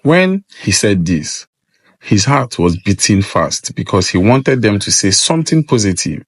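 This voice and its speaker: A man's voice: lowish voice, very animated, a little slow, breathy edge, no echo, clean studio sound. A man narrating a scripted folktale as a storyteller.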